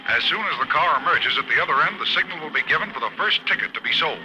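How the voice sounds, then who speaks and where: Brisk male radio announcer, bright cutting voice, music in the background. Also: on the high side, animated, rough recording. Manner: brisk announcer delivery, growing alarm. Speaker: radio drama actor. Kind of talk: 1940s radio acting.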